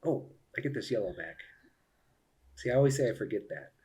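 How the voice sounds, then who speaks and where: A man's voice: mid-range voice, lively intonation, a little fast, warm tone. A man giving casual live commentary on a solo board game, thinking aloud and explaining each move to viewers.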